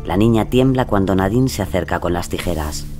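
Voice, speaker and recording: A man's voice: low voice, expressive, a little fast, a little mellow, soft background music. A man narrating a true-crime story from a script as its storyteller.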